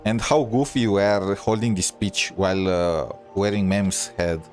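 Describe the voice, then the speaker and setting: A man's voice: fairly low pitch, fairly even intonation, measured pace. A man giving casual reaction commentary as he streams a game. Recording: loud game music behind.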